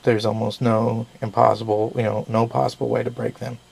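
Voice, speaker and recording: A man with a deeply gravelly, fry-laden voice talks through a knife review in a loose, rambling way, often correcting himself mid-sentence. He sounds a little under the weather, as if losing his voice, and keeps a plain, unpolished delivery. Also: little pitch movement, fairly quick, a little mellow, poor audio. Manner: rambling, self-correcting explanations. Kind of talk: casual product review.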